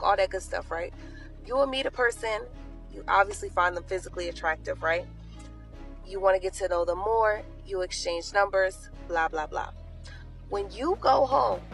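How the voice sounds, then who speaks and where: Woman talking casual, explaining step by step, soft music behind her. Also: mid-range voice, creaky. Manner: conversational, explanatory, checks in with "right?". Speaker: clip speaker.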